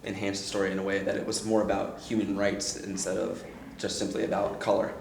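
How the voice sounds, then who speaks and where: A teenage boy with a lowish voice speaks earnestly, stumbling through fillers as he answers an interviewer. The room is echoey, with a faint hiss of noise behind him. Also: completely flat delivery, creaky. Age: teens. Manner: halting, filler-heavy, earnest. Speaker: high school actor.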